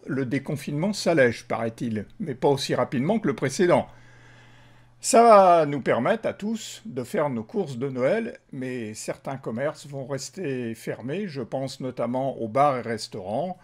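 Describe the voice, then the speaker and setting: Hesitant man hosting an interview. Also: medium pitch. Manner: hesitant, many fillers. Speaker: interviewer, show host. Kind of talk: friendly video interview.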